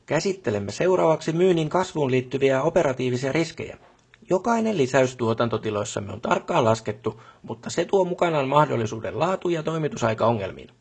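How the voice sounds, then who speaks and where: A man's voice: mid-range voice, animated, husky. A man playing a pompous middle-aged company boss in a video game, with a stiff, long-winded, bureaucratic way of speaking. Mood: stern, formal. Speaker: job boss character. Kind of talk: formal scripted meeting speech in a video game.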